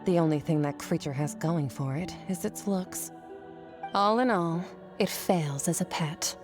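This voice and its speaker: A woman voicing an aloof, blunt master swordswoman in a video game, speaking in a deadpan, matter-of-fact way. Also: lowish voice, unhurried, music in the background, natural voice. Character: aloof, blunt female master swordswoman, coolly self-assured. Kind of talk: scripted video game dialogue.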